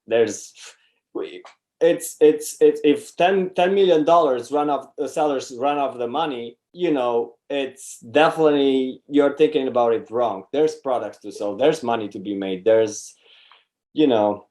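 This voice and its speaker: A man's voice: mid-range voice, unhurried, dark tone. A man from Romania with a Romanian accent, answering casually as an interview guest and repeating phrases emphatically. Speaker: interview guest, online seller.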